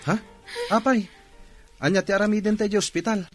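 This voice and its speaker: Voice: medium pitch, steady tone, brisk, soft background music, lightly acted. An actor with a Filipino accent playing a worried, pleading male employee in a radio drama. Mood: alarmed.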